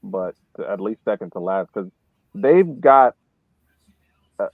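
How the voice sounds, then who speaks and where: A man's voice: on the low side, animated, husky, dry recording. Man on a tinny, phone-like line. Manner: halting, repeats words. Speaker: podcast panelist. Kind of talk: casual sports chat.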